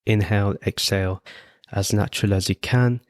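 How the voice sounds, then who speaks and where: A man leading a guided meditation as host, giving gentle, unhurried instructions with frequent pauses. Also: fairly low pitch, steady tone, no echo, clean studio sound. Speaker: podcast host guiding meditation.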